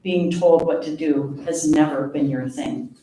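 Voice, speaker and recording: A woman giving a live poetry reading of her own work, in a measured, deliberate way with pauses. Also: sits low, steady tone, dark tone. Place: live reading event before an audience. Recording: very echoey room, distant mic, faint noise.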